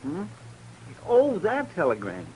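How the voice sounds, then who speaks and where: A dutiful, lively-voiced deputy, heavy hiss. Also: male voice, high-pitched, slow, full character voice. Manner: dutiful, slightly muddled. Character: eager folksy marshal's deputy. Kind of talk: 1950s radio drama acting. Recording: loud hiss on old radio recording.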